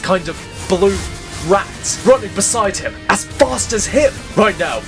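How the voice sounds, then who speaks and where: A male storyteller with a fairly high-pitched voice. He reads dramatic scripted narration for an audio drama, with music clearly playing underneath. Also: lively intonation, unhurried.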